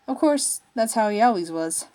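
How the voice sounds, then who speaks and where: A woman's voice: a little high, animated, normal speed, mellow. A woman narrating a piece of fiction, reading it aloud from a written text. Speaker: story narrator, fan fiction writer.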